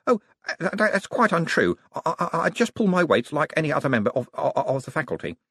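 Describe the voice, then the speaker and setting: A man's voice: a little high, very animated, a little fast, a bit bright, slightly rough, dry recording, clean studio sound, pitched above the performer's own voice, exaggerated voice. A man voicing a friendly, flustered young wizard scholar in an audiobook, modest but bookish, hesitating and stammering with many ums.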